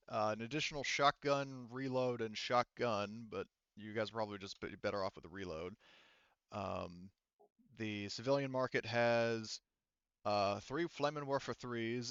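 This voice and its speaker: A man speaking casually as game master of an online tabletop game, explaining things in a halting, rambling way. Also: lowish voice, fairly bright tone, clean tone, dry recording, lo-fi sound.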